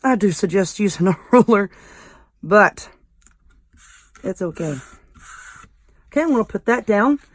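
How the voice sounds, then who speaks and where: A woman with a Southern American accent and a slightly hoarse, croaky, squeaky voice, hosting a casual craft tutorial in a folksy, informal way. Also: no room sound. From southern United States. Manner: folksy, informal, self-deprecating. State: voice a little hoarse. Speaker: craft video host.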